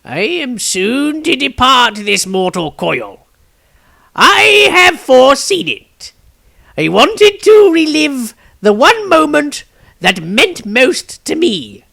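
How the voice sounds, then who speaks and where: A man voicing a very old, wistful and cheeky male wizard in a storybook, with drawn-out words. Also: very high-pitched, very animated, creaky, bright, forceful tone, voice pushed up, heavily acted. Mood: grave, wistful.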